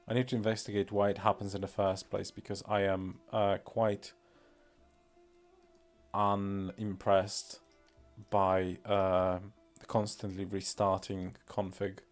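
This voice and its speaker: A Polish-accented man talks slowly on a live stream in a low, unhurried voice. He rambles and fills pauses with ums, poking fun at himself. Quiet music plays softly behind him. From Poland. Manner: rambling, self-deprecating, many fillers. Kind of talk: casual live-stream commentary.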